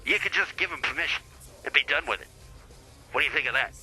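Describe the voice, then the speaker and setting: A man's voice: a little high, animated, fast talker, bright, forceful tone, faint music behind, rough recording. A man playing a blustering small-time lawyer in improvised comedy podcast banter, folksy and rambling, stumbling over his words. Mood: blustery advising. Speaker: lawyer character, show guest.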